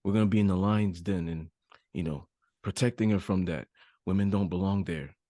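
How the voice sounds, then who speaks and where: Deep, mellow-voiced male podcast host. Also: a little fast, dry recording, clean studio sound. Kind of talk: casual podcast interview.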